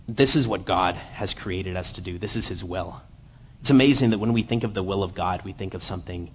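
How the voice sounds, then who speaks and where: A man in his late twenties giving a church sermon, lively while telling a story and then quiet and earnest as he reads scripture. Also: low voice, a little hiss or hum. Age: 20s. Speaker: lay preacher.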